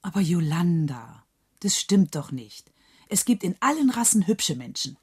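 Mellow, composed narrating wife and mother. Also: fairly low pitch, big pitch swings, measured pace, husky, dry recording, studio quality, heavily acted. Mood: gentle, patient. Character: composed, caring wife and mother recounting events. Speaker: actress narrating a radio play. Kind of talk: scripted dramatic narration.

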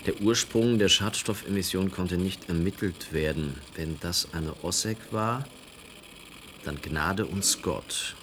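Radio play: authoritative, grave investigative boss, deep-voiced and emphatic. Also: male voice, takes it slowly, a bit bright, a little hiss or hum. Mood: grave, shaken. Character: alarmed, authoritative boss of a small investigative office. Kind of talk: naturalistic radio drama dialogue.

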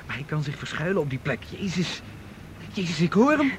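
A man's voice: a little high, a little fast. An actor playing a nervous, impatient man beside a getaway car in a radio drama, urgent and repeating his words as he tries to keep control. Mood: alarmed. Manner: urgent, stammering repeats, trying to calm. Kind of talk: Dutch radio drama acting. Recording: loud vehicle noise over voice. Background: car engine and ambience.

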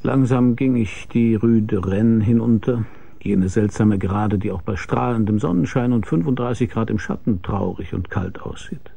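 Hardboiled detective, dark husky voice, laconic, dry, radio drama narration. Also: male voice, lowish voice, moderate pace. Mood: wry, reflective. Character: laconic, world-weary private detective telling his own case. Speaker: first-person narrator and lead.

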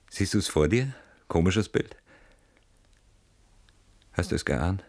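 An anxious, obsessive man in a radio drama. His voice is deep and rough around the edges, and he phrases things with brooding, sardonic deliberation. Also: expressive, extremely slow, heavily acted. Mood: uneasy. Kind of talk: German radio play acting.

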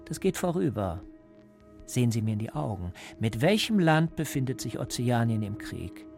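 Creaky-voiced, coldly calm interrogator. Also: male voice, medium pitch, faint music behind. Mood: calmly insistent. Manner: measured, controlled, didactic. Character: coldly calm male party interrogator, menacing and paternal. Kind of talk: radio drama acting.

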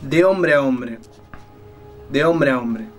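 A man playing a delusional kidnapper in an audio drama, speaking formally and deliberately with mocking politeness. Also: mid-range voice, faint music behind, lightly acted. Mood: controlled menace. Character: menacing male kidnapper, a delusional killer, coldly courteous.

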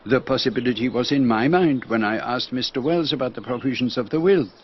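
An actor playing an astute, theatrical Belgian detective with a French accent, speaking formally and precisely in a radio drama. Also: male voice, mid-range voice, brisk, muffled recording, lightly acted. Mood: gently explaining. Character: astute, theatrical Belgian male detective, fussy and gently teasing.

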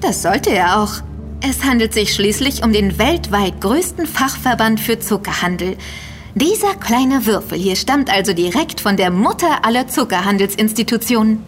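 An actress playing a young, impatient, sardonic and quick-witted woman in an audio drama, teasing her friends dryly. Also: mid-range voice, big pitch swings, soft background music, lightly acted. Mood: wry, knowing. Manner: teasing, dry sarcasm. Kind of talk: German audio drama dialogue.

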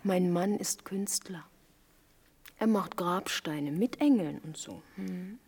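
An actress playing a naive, blunt young survivor in a German radio play, speaking in a hushed, plain, questioning way. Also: female voice, fairly low pitch, slow, gravelly, breathy edge, exaggerated voice. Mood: quietly remembering. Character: Marischka, naive, blunt young girl, later remembering survivor.

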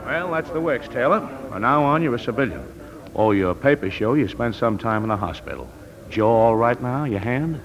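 An actor playing a brisk, genial military sergeant, chatty and matter-of-fact, in 1940s radio drama style. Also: male voice, medium pitch, lively intonation, crowd noise, lightly acted. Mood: businesslike. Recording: muffled 1940s radio broadcast.

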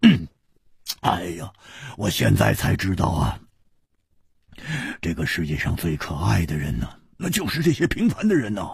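Storybook role: blunt, excitable hard-drinking wanderer played by a male narrator, very husky, wide swings in pitch. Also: fast talker, hardly any pauses, no echo, studio quality, heavily acted. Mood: tipsy, fond. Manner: sighing, muttering, full of interjections. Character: hearty, hard-drinking adventurer man, blunt, sentimental, excitable. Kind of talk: audiobook character voice.

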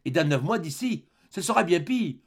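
A man's voice: fairly high pitch, expressive, normal speed. A man voicing a plaintive young peasant wife who frets and protests in an audiobook story. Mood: fretful protesting.

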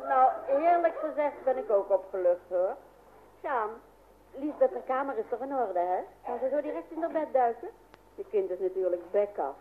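A woman's voice: on the high side, lively intonation, moderate pace, close to an everyday voice. An actress playing a chatty middle-aged Dutch wife and mother, gushing and bustling, in an old-fashioned radio comedy. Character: chatty, theatre-loving Dutch wife and mother, easily smitten. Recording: muffled, phone-like old radio recording, faint noise.

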